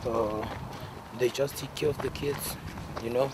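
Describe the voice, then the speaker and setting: A man's voice: mid-range voice, fairly even intonation, a little slow. A man, casually explaining things as a local guide, hesitant and repeating himself. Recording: noisy outdoor street ambience.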